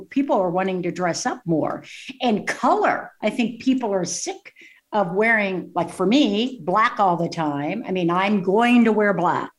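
Chatty woman host, laughing easily. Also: medium pitch. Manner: chatty, laughs often, trails into asides. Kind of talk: casual interview chat.

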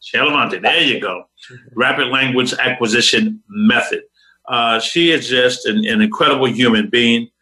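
Bright-voiced upbeat motivational host. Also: male voice, medium pitch, normal speed, rough recording. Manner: upbeat, preacher-like motivational delivery. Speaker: talk show host. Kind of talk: motivational talk show hosting.

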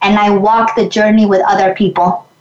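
A woman's voice: mid-range voice, flat delivery, brisk, echoey room, dull audio, a little hiss or hum. A woman from Mexico, a guest in an interview, speaking reflectively and earnestly, often restarting her sentences. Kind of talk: conversational interview.

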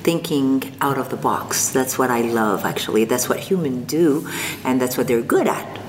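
Older woman guest, really low voice, slow and thoughtful, lots of pauses, some hall reverb. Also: animated. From North Africa. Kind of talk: reflective interview conversation. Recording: long reverb, faint background noise.

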